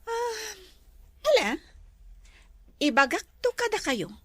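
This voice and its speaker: A woman's voice: very high-pitched, very animated, takes it slowly, bright, forceful tone, no room sound, full character voice. A woman playing a worried resort-owning madam in an Ilocano radio drama, speaking earnestly and with animation. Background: music under the voice.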